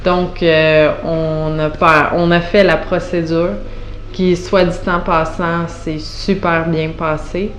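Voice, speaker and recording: A woman in her thirties with a Quebec French accent speaks slowly and haltingly, stopping often to search for words. Her voice sits a little low. The room is echoey, with a faint hum of background noise. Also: flat delivery. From Montreal, Canada. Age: 30s. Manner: hesitant, many fillers and restarts. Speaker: patient giving a testimonial. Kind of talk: unscripted spoken testimonial.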